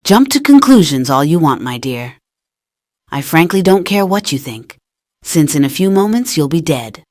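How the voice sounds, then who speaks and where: A woman's voice: low voice, big pitch swings, moderate pace, darkish tone, dry recording, clean studio sound. A woman voicing a cool, menacing, condescending female ninja assassin in fan-made game dialogue. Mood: taunting, cold.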